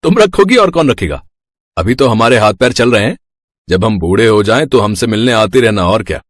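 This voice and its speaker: Man playing middle-aged Indian father, husky, easygoing, bantering, dry close recording, moral story. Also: fairly low pitch, big pitch swings, brisk, no room sound. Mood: reassuring. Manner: genial, bantering. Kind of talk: animated Hindi moral story dialogue.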